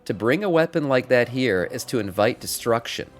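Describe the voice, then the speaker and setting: A man's voice: medium pitch, lively intonation, normal speed, soft background music, natural voice. A man reading aloud the game dialogue of a grave, ominous orc in a solemn, portentous manner. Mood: grave warning. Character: grave, ominous male orc warning of cosmic schemes.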